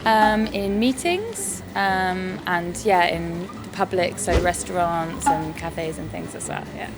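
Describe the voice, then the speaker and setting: A woman being interviewed as a traveler, who talks quickly in casual answers. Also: on the low side, over loud music. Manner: speaks quickly.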